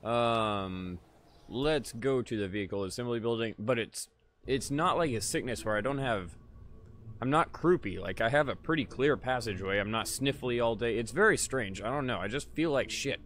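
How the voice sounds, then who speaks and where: Tired, slightly raspy male streamer. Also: mid-range voice, normal speed, fairly bright tone, slight background noise. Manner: laid-back, swears freely, chats to viewers. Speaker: gaming livestreamer. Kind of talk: casual live gameplay chat.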